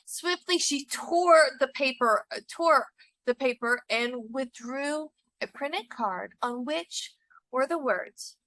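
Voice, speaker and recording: A woman reading a literary short story aloud with deliberate, expressive, dramatic phrasing. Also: high voice, no room sound, poor audio. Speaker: story reader.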